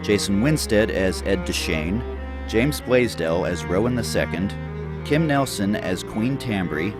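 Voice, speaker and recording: A man's voice: on the low side, lively intonation, measured pace. A man reading scripted closing credits as the announcer of an audio program. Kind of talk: scripted narration. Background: bowed string music, cello.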